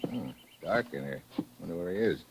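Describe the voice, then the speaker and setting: An actor playing a tough, wry American correspondent investigator in a brisk, hard-boiled 1950s radio drama style. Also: male voice, low voice, expressive, vocal fry. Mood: wry, probing. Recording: dull, noisy old radio recording.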